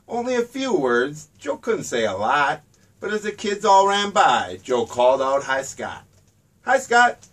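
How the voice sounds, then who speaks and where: A man's voice: a little high, sing-song, measured pace, recorded from a distance. A man reading his own rhyming children's book aloud in a sing-song storybook manner.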